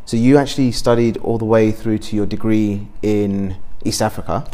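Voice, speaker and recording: A man's voice: lowish voice, fairly even intonation, warm tone. A man hosting a podcast interview in an upbeat, chatty way, recorded inside a luxury house. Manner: upbeat, chatty, quick short replies. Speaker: podcast host.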